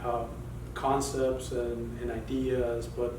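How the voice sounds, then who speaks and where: A man's voice: mid-range voice, level tone. Reflective Colombian-born man, distant roomy sound. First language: Spanish. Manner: reflective, halting, many restarts. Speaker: artist guest. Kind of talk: casual interview talk. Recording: roomy and noisy, mic far off.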